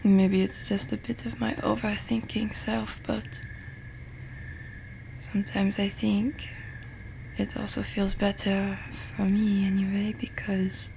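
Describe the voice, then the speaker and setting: A woman playing a pensive French roommate in an intimate ASMR ramble, with a French accent and a soft, breathy, hesitant delivery. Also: mid-range voice, little pitch movement, slow, slight background noise, poor audio. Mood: musing, gentle. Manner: hesitant, musing, self-deprecating. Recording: music behind the voice. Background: music and summer ambience.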